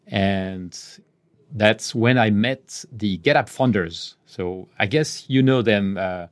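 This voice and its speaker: A man in his fifties from France with a French accent, answering interview questions in a measured way, pausing mid-phrase and letting his voice trail off softly. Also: on the low side. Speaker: guest executive.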